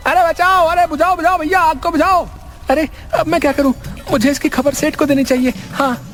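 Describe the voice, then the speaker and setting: A high-pitched cheeky young servant man. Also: steady tone, fairly quick, fairly bright tone, faint music behind. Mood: alarmed. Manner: exaggeratedly deferential, singsong obedience. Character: cheeky, literal-minded young male servant, feigning obedience. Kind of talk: Hindi cartoon story dialogue.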